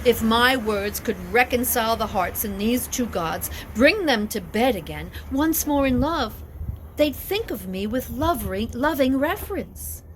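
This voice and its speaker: A woman's voice: a little high, lively intonation, measured pace, slight background noise, pitched above the performer's own voice. A woman voicing a scheming queen goddess in an epic tale, coaxing in a stately, formal way. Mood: pleading, sweet. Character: scheming queen goddess, sweetly persuasive and devious. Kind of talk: dramatic epic storytelling.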